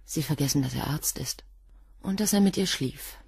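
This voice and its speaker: Guarded, husky, coolly defiant assistant. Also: female voice, sits low, animated, moderate pace, a little mellow, dry recording, clean studio sound, full character voice. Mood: defiant, controlled. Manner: precise, cool, clipped answers. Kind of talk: German crime radio play dialogue.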